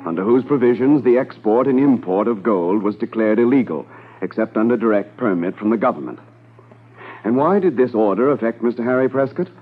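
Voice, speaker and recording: An actor as the narrator of a 1950s radio crime drama, measured and portentous in delivery. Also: male voice, mid-range voice, natural voice. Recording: muffled 1950s radio recording.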